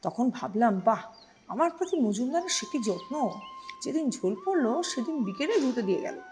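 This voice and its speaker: A woman's voice: fairly high pitch, sing-song, normal speed, darkish tone, a little hiss or hum. A woman voicing a simple, easygoing male blue shirt in a story, chatty and reassuring in a plain-spoken way.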